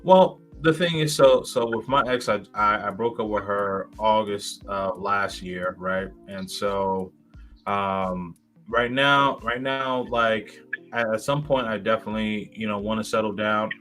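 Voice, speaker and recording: A man's voice: lowish voice, measured pace, fairly bright tone, soft background music. A man in his thirties, a guest in a casual interview, rambling with many fillers and restarts. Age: 30s.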